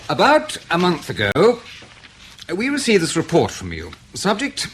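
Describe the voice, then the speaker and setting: An actor in radio drama playing an intelligence interrogator in his thirties, measured and probing, polite but insistent. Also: high-pitched, wildly swooping pitch, background noise, heavily acted. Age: 30s.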